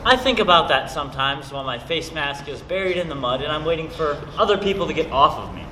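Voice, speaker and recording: A man playing a good-natured, battered high school quarterback, earnest and wry, in an amateur stage play. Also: expressive, fast talker, pushed and bright. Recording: echoey stage with faint noise.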